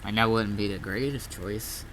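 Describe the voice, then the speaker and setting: A man's voice: lowish voice, moderate pace, a bit bright. A man chatting casually in character during an online roleplay game. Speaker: roleplay gamer. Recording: noisy game voice chat, background hum.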